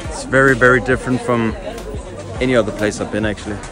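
A man's voice: medium pitch, fairly even intonation, fast talker, a crowd in the background. A man in his thirties vlogging as he walks through a busy outdoor market, chatty and upbeat, greeting people as he passes. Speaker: travel vlogger. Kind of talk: walking vlog to camera. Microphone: handheld action camera at arm's length. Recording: music under voice. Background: music bed.

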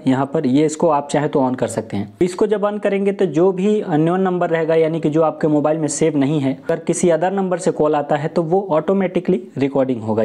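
Young man, mellow higher-pitched voice. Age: young adult. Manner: explanatory, repetitive, rephrasing points. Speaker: tech tutorial presenter. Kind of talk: step-by-step tutorial talk to camera. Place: small room indoors. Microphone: lapel mic.

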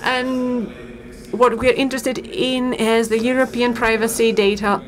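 A woman interpreting a startup pitch in real time, talking fast and steadily with occasional fillers. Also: flat delivery, rough recording. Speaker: simultaneous interpreter. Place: conference auditorium. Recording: auditorium feed with crowd and noise.

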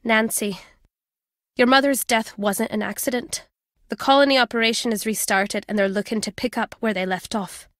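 Woman acting a wry older British ex-spy, high voice. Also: steady tone, moderate pace, no room sound, studio quality, natural voice. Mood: wistful, grave. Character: wry older woman, former spy and journalist, guarded but fond. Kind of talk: video game character dialogue.

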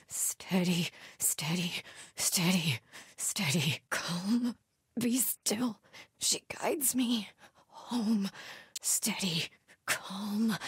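A woman's voice: fairly high pitch, creaky, pushed and bright, husky, no echo, studio quality, heavily acted. A woman playing a zealous, fierce female cult warrior in a video game, with clipped, intense and devout delivery. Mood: low, menacing, then hushed, strained prayer. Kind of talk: video game combat barks and prayers.

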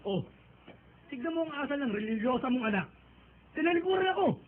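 A man's voice: very high-pitched, very animated, brisk. An actor playing a bitter, jobless older Filipino father in a radio drama, scolding and sarcastic. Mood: defensive, resentful. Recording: tinny phone-like band, noisy.

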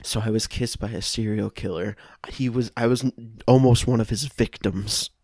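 A man in his twenties doing improvised comedy banter, pausing as he makes things up. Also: on the low side, fairly even intonation, darkish tone, no room sound, studio quality. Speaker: improv podcast performer. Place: room at home, indoors.

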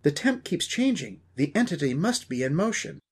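A man voicing an excitable, pouty young male ghost hunter who blurts things out and is easily spooked, in an audio story. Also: a little high, normal speed, slightly rough, pitched above the performer's own voice, exaggerated voice. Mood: defensive.

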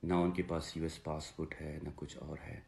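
A man in his thirties with a Pakistani accent, giving an earnest, halting personal statement to camera and repeating phrases for emphasis. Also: extremely low pitch, little pitch movement, gravelly, darkish tone. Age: 30s. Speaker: father making a personal video appeal. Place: small indoor room, seated before a plain wall.

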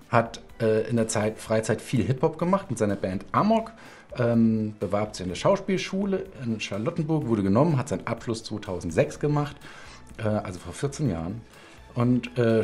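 A man's voice: mid-range voice, a little mellow. Middle-aged man hosting a casual talk. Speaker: talk show host. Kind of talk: casual interview chat. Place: home studio room indoors.

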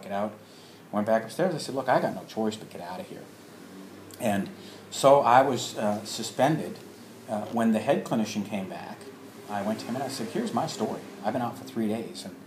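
Low-voiced man telling stories in an echoey room. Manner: halting, acts out remembered dialogue. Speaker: storyteller, alternative health practitioner. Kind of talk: personal anecdote told to listeners. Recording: roomy echo with background noise.

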